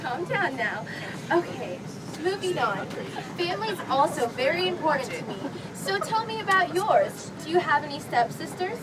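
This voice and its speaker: A woman's voice: very animated, moderate pace. A woman playing a sweet, prim young Cinderella who questions suitors in a comedy dating-game skit. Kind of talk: improvised comedy skit in game-show format. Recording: distant mic, very noisy vehicle-like rumble, crowd.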